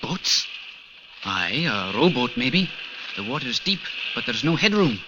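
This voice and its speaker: A man's voice: mid-range voice, sing-song, unhurried, highs cut off. An actor playing a talkative, helpful local man in an old radio drama, on a slightly noisy recording. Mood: hushed helpful. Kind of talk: old-time radio acting.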